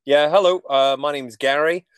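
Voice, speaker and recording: A man's voice: fairly high pitch, steady tone, moderate pace, a bit bright, smooth, dry recording, clean studio sound. Manchester-accented man in his forties. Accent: Manchester English. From England. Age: 40s. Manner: jokey, self-deprecating storytelling. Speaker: podcast guest. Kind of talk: casual interview chat.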